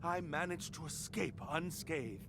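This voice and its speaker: Nervous, polite young man: high, slowish, hesitant game delivery, faint rumbling noise. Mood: grateful. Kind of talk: video game voice acting.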